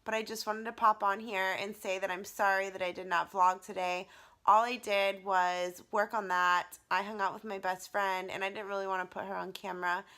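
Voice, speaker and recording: Hoarse, excited young woman vlogging. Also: mid-range voice, normal speed. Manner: excited, gushing, many "like" fillers. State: losing her voice, raspy. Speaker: vlogger and teacher. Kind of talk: casual talking-to-camera vlog.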